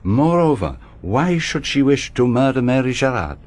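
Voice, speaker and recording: An actor in a radio drama playing a courteous, shrewd Belgian detective with a French-speaking accent and formal, precise phrasing. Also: male voice, medium pitch, sing-song, normal speed, darkish tone, close to an everyday voice. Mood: probing, courteous. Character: shrewd, courteous foreign male private detective, probing and measured.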